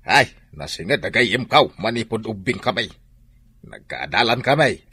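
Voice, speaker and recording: A man's voice: lowish voice, big pitch swings, moderate pace, bright, forceful tone, husky, heavily acted. An actor with an Ilocano accent playing a traditional, insistent middle-aged father and farm caretaker in a radio drama.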